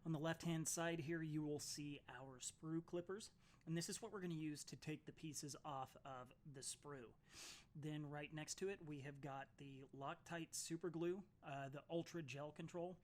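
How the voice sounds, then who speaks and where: A chatty man walks viewers through building tabletop miniatures, his voice a touch higher than most men's. Allergies leave him slightly raspy and softer than usual. He fills his sentences with ums and uhs. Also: fairly even intonation, moderate pace. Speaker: miniatures hobby YouTuber. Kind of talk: casual hobby tutorial.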